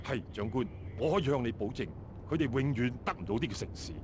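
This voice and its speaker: A man's voice: medium pitch, lively intonation, quick pace, background noise, close to an everyday voice. An actor playing a dutiful Chinese army captain in a video game, deferential and assuring as he speaks. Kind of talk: scripted video game dialogue.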